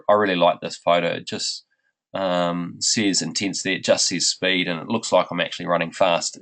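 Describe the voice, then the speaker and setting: Low gravelly New Zealand man, blocked up. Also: a bit bright, rough recording. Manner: friendly, unhurried, with frequent ums and uhs. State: blocked up with a head cold. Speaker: running coach. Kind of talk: webinar presentation.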